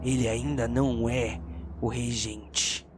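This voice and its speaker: A man with a Brazilian accent voicing a cruel, commanding cursed sword in a tabletop fantasy game, drawing out his threats slowly. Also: mid-range voice, little pitch movement, background noise, voice pushed down, close to an everyday voice. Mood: sinister threat. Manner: slow, drawn-out, threatening. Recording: music behind the voice.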